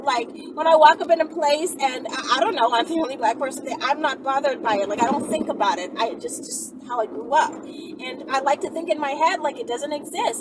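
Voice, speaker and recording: A woman hosting an online video, talking casually and off the cuff in an earnest, rambling, conversational way. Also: sits high. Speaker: online video host answering viewer questions. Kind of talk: casual unscripted opinion talk to camera. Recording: distant mic, clearly noisy background.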